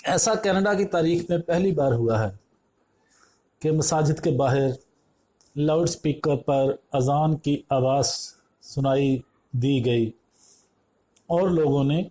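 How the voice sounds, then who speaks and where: A man narrating a scripted news report, reading formally in measured phrases. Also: mid-range voice, mellow.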